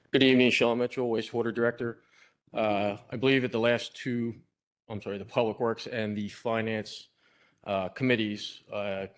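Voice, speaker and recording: A man, a borough wastewater director, addresses a council meeting hesitantly with many fillers. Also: on the low side. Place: council chamber.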